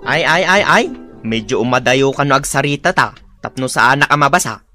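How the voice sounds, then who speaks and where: A man's voice: medium pitch, a little fast, over music, close to an everyday voice. A man acting an exasperated, teasing village husband with an Ilocano accent in a comedy radio drama.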